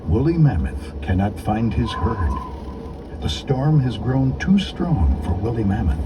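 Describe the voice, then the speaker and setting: A man narrating a children's storybook in an expressive, deliberate, scripted storytelling delivery. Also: mid-range voice, noisy background. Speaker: audiobook narrator.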